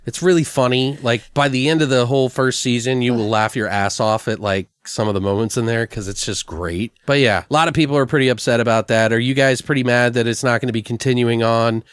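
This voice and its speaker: A man's voice: medium pitch, brisk, hardly any pauses, clean tone, no room sound, studio quality. A man co-hosting a podcast, chatting casually through entertainment news in an informal, slangy, sweary way. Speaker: podcast co-host. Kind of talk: casual chat about horror film news.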